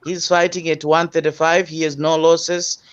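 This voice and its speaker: Man, fairly high voice, halting talk with many fillers, muffled lo-fi call audio. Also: flat delivery, clear, resonant tone. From Tanzania. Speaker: guest panelist. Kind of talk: casual boxing discussion.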